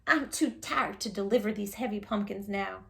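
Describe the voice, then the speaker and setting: A woman's voice: mid-range voice, normal speed, gravelly, close to an everyday voice. A woman voicing an exasperated, grumbling woman from a storybook who frets dramatically over her troubles. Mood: weary grumbling.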